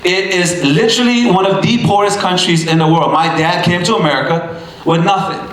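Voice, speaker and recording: A man in his thirties with a high-pitched voice delivers a fired-up motivational speech, hammering lines home through repetition and the odd shout. The sound rings around a very echoey hall. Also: brisk. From Bangladesh. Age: 30s. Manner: emphatic, punchy repetition, sometimes shouting. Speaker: motivational speaker. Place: event stage in a large hall.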